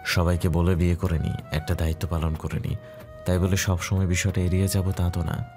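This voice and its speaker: Very deep-voiced, composed elder brother played by a man. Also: level tone, brisk, a little mellow, natural voice. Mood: calm, earnest. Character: calm, dutiful head-of-family elder brother explaining his marriage. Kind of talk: Bengali audio story acting. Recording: music clearly playing behind.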